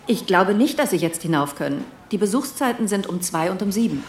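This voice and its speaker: An actress in a German radio drama playing a brisk, matter-of-fact hospital receptionist. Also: female voice, medium pitch, expressive, slight background noise, close to an everyday voice. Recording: roomy, echoing interior.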